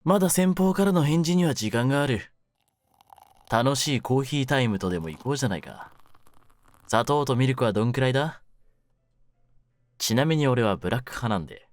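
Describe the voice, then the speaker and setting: A man playing a menacing young mafia kidnapper in an intimate voice drama, speaking low with rough, smug, teasing words. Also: lively intonation, moderate pace, pauses often, natural voice. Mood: playful, casual. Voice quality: low-pitched, hushed at menacing moments. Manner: rough masculine speech, smug mock politeness. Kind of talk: ASMR situation voice drama, intimate one-sided roleplay.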